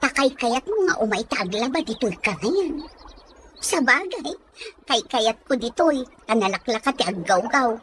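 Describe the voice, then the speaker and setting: Frank, nosy Filipina best friend played by a woman, high and swooping voice, Ilocano accent, village audio drama, noisy recording. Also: big pitch swings, normal speed, fairly bright tone. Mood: practical. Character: frank, nosy, lively young village girlfriend and confidante.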